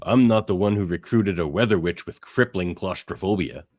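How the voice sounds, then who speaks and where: A man playing a gruff, sardonic, defiant loner brawler with a blunt, taunting manner in a radio theatre read. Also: deep voice, moderate pace, darkish tone, dry recording, clean studio sound.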